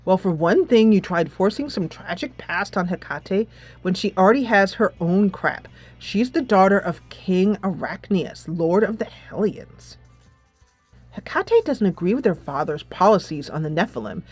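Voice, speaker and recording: A woman's voice: mid-range voice, expressive, moderate pace, no echo, natural voice. Woman putting on a sullen, drawling teenage girl. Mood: scornful. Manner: drawling, dismissive. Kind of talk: visual novel character read aloud.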